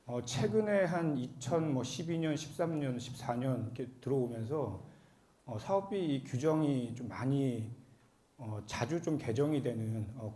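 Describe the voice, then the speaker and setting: A man with a cold giving a formal explanatory seminar presentation as an accountant, speaking politely and a bit haltingly. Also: medium pitch, warm tone. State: has a cold.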